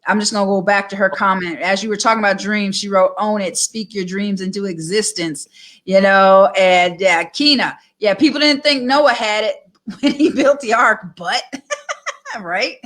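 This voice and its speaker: A woman hosting a casual live-stream interview, upbeat and affirming with quick asides. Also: medium pitch, fairly bright tone. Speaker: online talk show host.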